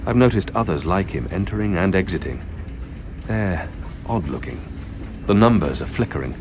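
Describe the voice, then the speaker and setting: A deep, terse warrior fugitive. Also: male voice, noisy background. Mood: musing. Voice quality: terse, deadpan, measured. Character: calm, grim male warrior fugitive. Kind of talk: video game voice acting.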